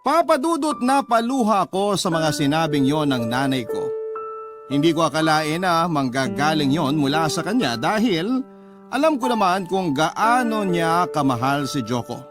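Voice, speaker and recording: A Filipino man narrates a scripted first-person story as a radio host. His voice is a little high for a man. Music plays clearly beneath the narration. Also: sing-song, normal speed. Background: music under the narration.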